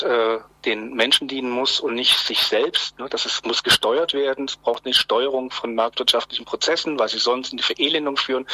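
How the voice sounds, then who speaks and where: Bright, forceful man in his fifties. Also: medium pitch, dry recording, low-quality recording. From Swabia, Germany. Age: 50s. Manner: halting, repeats words while searching for phrasing. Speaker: interview guest, journalist. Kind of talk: conversational interview answers.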